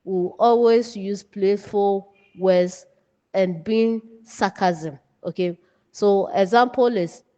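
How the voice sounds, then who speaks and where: Slow-paced Ghanaian woman teaching in echoing reverb. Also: medium pitch, mellow. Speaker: writing teacher. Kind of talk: instructional lecture from notes. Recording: dull, muffled, long room reverb.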